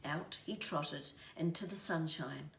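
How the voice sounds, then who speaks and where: A woman reading a children's story aloud in an expressive, deliberate way. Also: low-pitched, gravelly. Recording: roomy, distant mic, faint noise.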